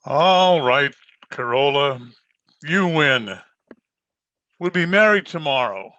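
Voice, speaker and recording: Man playing secretive brooding murderer, forceful highish voice, grave halting delivery, old-time radio mystery. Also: expressive, no echo, dull audio, heavily acted. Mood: grim. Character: brooding, secretive male murderer torn by love. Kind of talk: 1940s radio mystery acting. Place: at home, online video-call performance. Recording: noisy home internet-call recording.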